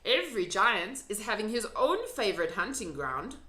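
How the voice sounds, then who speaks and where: A friendly old storybook giant, played by a woman reader, talks in excitable, emphatic bursts with quaintly mangled grammar. The voice is bright and leaps around in pitch. It sounds in an echoey room. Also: normal speed. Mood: eager, explaining. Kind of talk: children's storybook reading.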